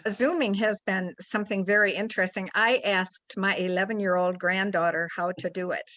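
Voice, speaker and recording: A woman's voice: mid-range voice, steady tone, normal speed, a bit bright. A woman of seventy-two chatting casually about her own experiences in an interview. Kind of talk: casual personal conversation.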